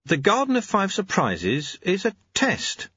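A man's voice: high-pitched, huge pitch swings, a little slow, bright, forceful tone, breathy edge, no echo, dull audio, pitched above the performer's own voice, heavily acted. A man voicing a flustered young novice. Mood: earnest. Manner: flustered, eager. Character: anxious, hasty young male novice monk.

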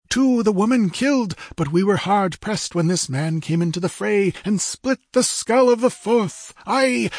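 A man voicing an excitable warrior in a fantasy audiobook, declaiming fervently in archaic phrases about bloody vengeance. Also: high voice, no room sound, studio quality, pitched above the performer's own voice. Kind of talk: audiobook fantasy adventure character.

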